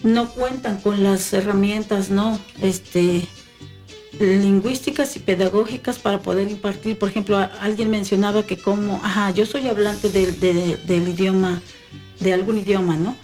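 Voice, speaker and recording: A woman's voice: mid-range voice, fairly even intonation, moderate pace, darkish tone, faint music behind. A woman in casual conversation, talking hesitantly with many fillers and restarts.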